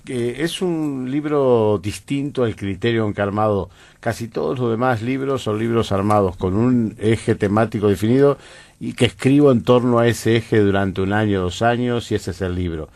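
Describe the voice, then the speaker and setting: A Uruguayan man, a guest poet, speaking in a measured, reflective way in a radio studio interview. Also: medium pitch, creaky, a little mellow.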